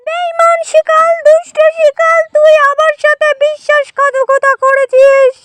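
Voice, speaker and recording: Tiny, squeaky cartoon rabbit. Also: female voice, level tone, measured pace, fairly bright tone. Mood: betrayed, pleading. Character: naive, trusting little rabbit, easily upset.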